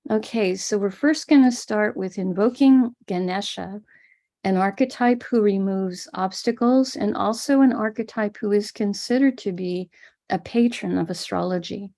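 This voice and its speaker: A woman with an American accent, gently explaining and guiding a live online ritual as a spiritual teacher. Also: medium pitch, vocal fry. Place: home room in Florida.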